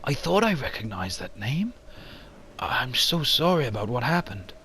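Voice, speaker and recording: A man's voice: mid-range voice, very animated, normal speed, sharp tone, slight background noise. A man voicing an anxious, stubborn archaeologist with a hesitant manner in an amateur motion comic. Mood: earnest, determined. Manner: hesitant, stammering. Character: anxious, stubborn male archaeologist, desperate for funding.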